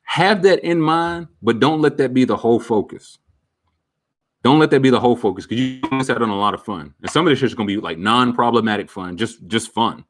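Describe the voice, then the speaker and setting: A man around thirty talks casually with his viewers, candid and reflective. His voice sits at a middle pitch, and his speech is loose, with slang and fillers. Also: animated, fairly quick. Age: about 30. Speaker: streamer answering viewer questions. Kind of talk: casual chat.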